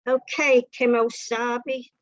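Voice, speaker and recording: A woman's voice: on the high side, flat delivery, takes it slowly, no room sound, dull audio. A woman voicing a nosy, helpful, matter-of-fact man in a comic short story. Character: housewife's companion Jack, a blunt, nosy, helpful man.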